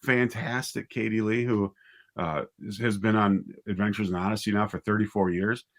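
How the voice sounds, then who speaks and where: A man's voice: fairly low pitch, fairly even intonation, normal speed. A man speaking casually as an interview guest, a writer and director thinking aloud in a hesitant, conversational way.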